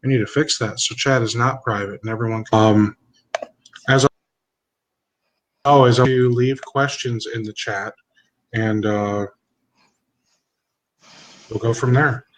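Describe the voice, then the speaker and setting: A man's voice: medium pitch, fairly even intonation, slow, long pauses, rough recording. A man hosting a webinar, introducing the guest in an informal, chatty, slightly rambling way. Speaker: webinar host and moderator. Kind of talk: casual introduction.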